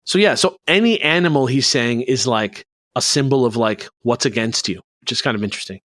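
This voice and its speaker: A man's voice: medium pitch, a little fast, a bit bright, no room sound. Casual, rambling male podcast host, close dry sound. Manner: rambling, conversational, hedging with "like". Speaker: podcast host presenting a researched topic. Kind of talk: casual explanatory chat.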